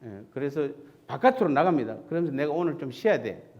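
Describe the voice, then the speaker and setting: Lively-voiced pastor preaching. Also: male voice, a little high, fast talker, lo-fi sound. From Seoul. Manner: asks the congregation rhetorical questions and answers them himself. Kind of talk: conversational sermon. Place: church sanctuary.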